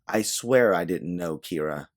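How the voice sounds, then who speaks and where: A man reads as an evasive woman in an audio story. She is persistent and placating, with very mobile pitch. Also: lively intonation, measured pace. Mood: placating, insistent. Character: insistent, evasive woman on a phone call.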